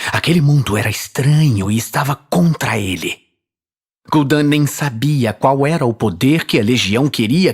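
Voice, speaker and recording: A man's voice: medium pitch, slightly rough. A man with a Brazilian accent reading dramatic scripted fantasy narration as the storyteller.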